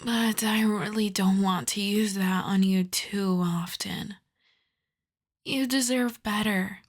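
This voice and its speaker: Slow whispering seductive vampire woman voiced by an actress. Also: medium pitch, steady tone. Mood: gently commanding. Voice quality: soft, breathy whispering. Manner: slow, coaxing, doting. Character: seductive, possessive vampire woman, tender and lovestruck. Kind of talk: intimate whispered ASMR roleplay.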